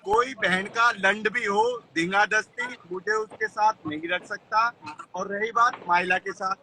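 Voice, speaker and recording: Young male caller, piercingly bright high voice, blunt and defiant, informal, faint noise on the call. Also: steady tone, a little slow, low-quality recording. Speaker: guest caller on a live stream. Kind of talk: casual argumentative chat.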